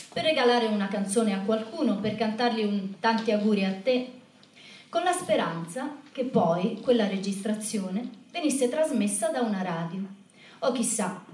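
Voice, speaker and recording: A woman telling a scripted story in a stage show, in a measured, reflective manner. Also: on the high side, darkish tone. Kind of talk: scripted spoken-word narration in a theatre show. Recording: echoey room, distant microphone.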